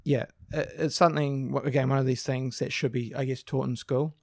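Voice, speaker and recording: A man co-hosting a podcast with a croaky voice, explaining things at length in a casual chat with frequent restarts. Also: medium pitch, steady tone, brisk, no echo, studio quality. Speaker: podcast co-host. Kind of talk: casual discussion.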